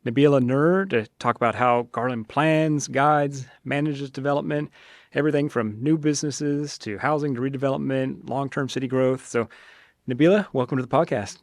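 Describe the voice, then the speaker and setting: A man's voice: mid-range voice, steady tone, normal speed, no echo, studio quality. A man, a mayor, hosting a podcast and interviewing a guest in a friendly, informal, chatty way.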